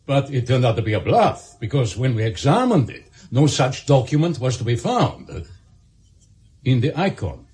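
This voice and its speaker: Man acting a shrewd Soviet official, expressive pitch. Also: moderate pace, close to an everyday voice. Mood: shrewd, questioning. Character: deferential but shrewd senior Soviet official. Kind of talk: radio drama acting.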